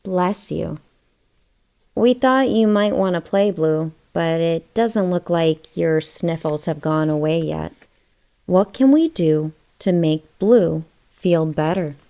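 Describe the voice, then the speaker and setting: Female storybook narrator for small children, lowish voice, very leisurely pace, question-led read-aloud delivery. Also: slow, dark tone. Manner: addresses young listeners with questions.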